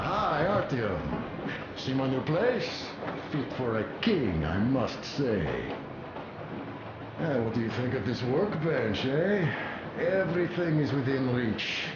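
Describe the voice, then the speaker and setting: An actor playing a friendly, practical army workshop armourer in a video game, chatty, folksy and proudly boastful. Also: male voice, mid-range voice, sing-song, slow pace, heavy background noise. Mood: proud, cheerful. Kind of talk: video game character acting. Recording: muffled, roomy, loud background ambience.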